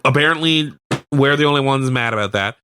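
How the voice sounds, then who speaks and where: A Canadian man chats through local news as a radio co-host. His voice sits at an average pitch with a dry, close sound. The delivery is informal and a little halting, with a light joking touch. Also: bright, forceful tone, clear, resonant tone, no room sound. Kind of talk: casual local news chat.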